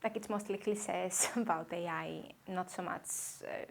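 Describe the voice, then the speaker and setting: A woman's voice: fairly low pitch, fairly even intonation, measured pace, creaky, slight background noise. A woman playing an apologetic university student presenting a project in a hesitant manner.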